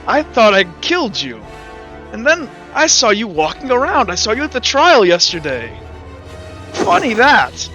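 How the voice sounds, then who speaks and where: High-voiced taunting, menacing man, as a game master plays him. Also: huge pitch swings, moderate pace, bright, forceful tone, faint music behind, full character voice. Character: taunting, menacing man confronting someone he meant to kill.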